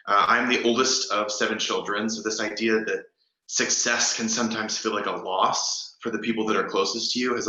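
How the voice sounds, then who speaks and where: A man's voice: fairly low pitch, sharp tone. A young man, a playwright, giving a spoken introduction to his play. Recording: dull, echoey room sound.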